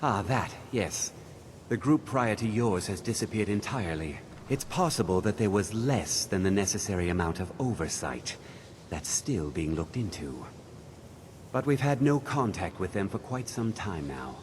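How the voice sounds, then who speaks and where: Man playing a stuffy, low-pitched older wizard. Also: animated, normal speed, darkish tone, a little hiss or hum, close to an everyday voice. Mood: evasive, thoughtful. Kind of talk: video game dialogue.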